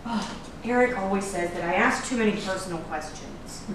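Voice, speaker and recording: A woman's voice: mid-range voice, expressive, moderate pace, close to an everyday voice. A woman playing a detective's meddling wife in a staged drama reading, earnest and insistent, often hesitant. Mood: prying. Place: echoey room at a staged reading. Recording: echoey room, distant mic, noisy background.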